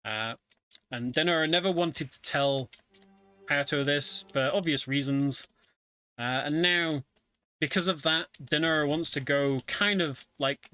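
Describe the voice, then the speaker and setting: A man's voice: medium pitch, sharp tone. A man giving chatty, wry live commentary on a speedrun, telling the story of the game as he plays. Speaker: speedrunner commentating own run. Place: home setup, streamed online event. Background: game music.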